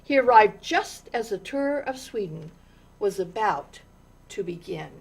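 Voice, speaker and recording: A woman reading a children's story aloud in an expressive, deliberate way, with dramatic pauses and stressed words. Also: medium pitch, creaky, breathy edge. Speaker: storytime reader.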